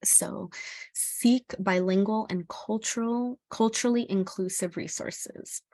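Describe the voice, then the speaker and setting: A woman's voice: mid-range voice, takes it slowly, mellow, no room sound. A middle-aged woman from El Salvador giving an informal educational presentation as a parent, speaking in a hesitant, earnest way.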